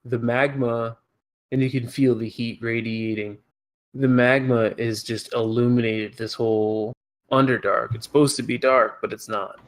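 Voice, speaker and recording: A man narrating as game master of a tabletop game, relaxed and halting, with frequent fillers. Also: medium pitch. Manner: relaxed, hesitant with many fillers. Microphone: headset or desk mic on a voice call.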